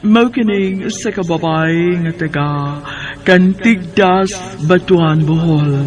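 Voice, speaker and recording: A man's voice: high voice, slow pace. A man with a Filipino accent acting in a radio drama.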